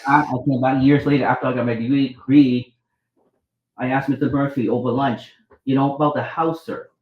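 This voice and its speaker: A man's voice: medium pitch, steady tone, fairly quick, echoey room, dull audio. A middle-aged man from Vietnam, a doctor and author telling his life story as an interview guest, with many restarts, acting out the dialogue. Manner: rambling, restarts, acts out quoted dialogue.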